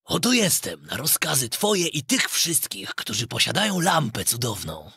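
A man voicing a mighty, obedient male spirit from a magic lamp, speaking loud and resounding. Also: mid-range voice, huge pitch swings, normal speed, gravelly, slightly rough, dry recording, clean studio sound, exaggerated voice. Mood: solemn.

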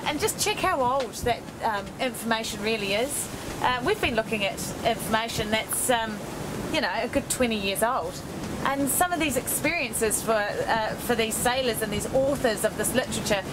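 A woman talks thoughtfully to the camera on a boat deck, pausing often with ums and restarts. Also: a little high. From New Zealand. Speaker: sailing vlogger. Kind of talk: reflective talk to camera. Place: open deck of a sailing boat at sea. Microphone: camera held close. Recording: loud wind and sea noise.

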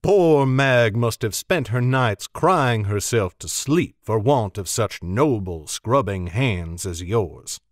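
A man's voice: mid-range voice, sing-song, a little slow, no room sound, studio quality. A man voicing a wry older bowyer who speaks with a smirking drawl. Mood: teasing.

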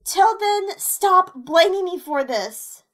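A woman's voice: very high voice, animated, slow, fairly bright tone, heavily acted. A woman doing a sassy, flirty female monster. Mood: defensive. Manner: provocative, sassy drawl. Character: flirty brash female monster, teasing troublemaker. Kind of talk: fan audio drama acting.

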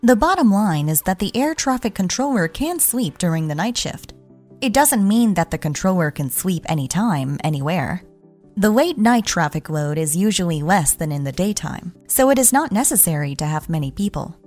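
A woman reading scripted explainer narration in a measured, instructional way. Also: lowish voice, lively intonation, a little mellow. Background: background music.

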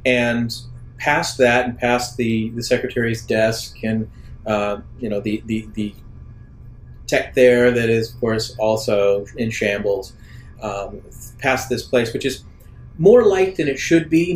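A man narrating an improvised sci-fi tabletop roleplaying game as game master, describing scenes in a halting, deliberate way. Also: medium pitch, rough recording.

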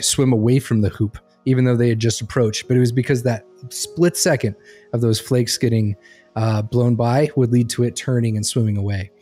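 A man's voice: medium pitch, warm tone. A man telling anecdotes in casual podcast talk. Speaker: podcast speaker on behavior analysis.

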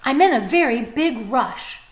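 A woman's voice: high voice, animated, unhurried, roomy sound, voice pushed up. A woman voicing a rude male fox who is always in a hurry. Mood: rushed.

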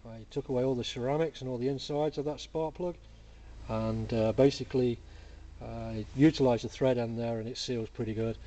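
A man casually explaining a workshop build in a relaxed, plain-spoken way, full of "uh" and "basically", filmed up close on a camera mic at a cluttered bench. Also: medium pitch, moderate pace. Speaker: hobby engineer demonstrating a build.

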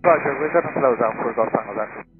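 Male pilot firing off short radio readbacks fast, dull lo-fi sound, music behind. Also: medium pitch. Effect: aviation radio. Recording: muffled cockpit radio transmission, music behind. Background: background music.

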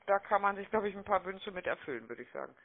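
Woman cold caller on a tinny phone line, chatty persuasive sales pitch, keeps asking for agreement. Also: lively intonation, fast talker. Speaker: telemarketing caller. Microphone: telephone call.

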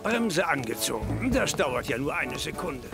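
A man's voice: fairly high pitch, sing-song, a little slow, creaky, over loud music, heavily acted. A man voicing a kindly, cheerful old grandfather who drives a train in a children's cartoon. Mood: genial. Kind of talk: animated children's series dubbing.

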